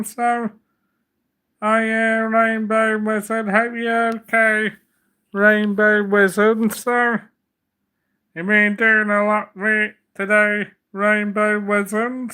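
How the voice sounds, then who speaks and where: Slow, flat-voiced man, bright tone. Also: sits high, smooth. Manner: rambling, repeats "so" often. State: slight cough, getting over a cold. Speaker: livestream host. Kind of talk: casual livestream chat.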